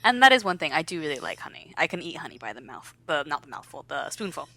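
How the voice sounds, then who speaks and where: A young adult woman co-hosting a podcast with her mother, talking in quick, animated, laughing banter. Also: deep voice, creaky. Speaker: podcast co-host. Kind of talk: casual chat.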